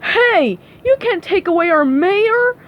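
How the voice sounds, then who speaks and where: A woman voicing an emotional, excitable townsman in a children's storybook reading. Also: extremely high pitch, extremely slow, voice pushed up, full character voice. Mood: upset. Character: emotional, easily delighted cartoon townsman. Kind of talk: read-aloud children's cartoon storybook. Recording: dull, noisy recording.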